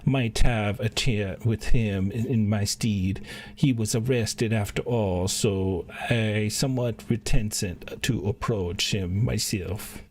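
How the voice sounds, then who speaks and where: A man's voice: fairly low pitch, slow, a little mellow. Man reading as a wordy, pompous fantasy scholar. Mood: self-satisfied. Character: fussy, wordy, self-important fantasy scholar. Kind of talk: game quest dialogue read aloud.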